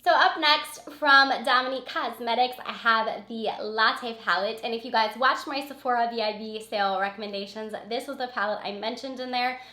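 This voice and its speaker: A woman's voice: a little high, normal speed, few pauses, sharp tone, echoey room. A woman beauty vlogger with a cold and a scratchy, sniffly voice, chatting casually and gushing about her favorites, repeating words for emphasis. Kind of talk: casual product review chat.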